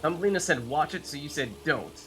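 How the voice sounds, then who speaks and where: A man's voice: on the high side, animated, a little fast, bright, forceful tone, soft background music. A man giving casual live commentary on a video game, chatting and thinking aloud as he plays. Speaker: game streamer, host.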